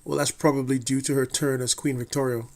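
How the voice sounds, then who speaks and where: A man delivering a prepared television review at a steady, mid-range pitch. His reading is measured and deliberate, and he now and then trips over a word and starts it again. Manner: measured, deliberate delivery with occasional slips and restarts. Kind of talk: scripted review read aloud.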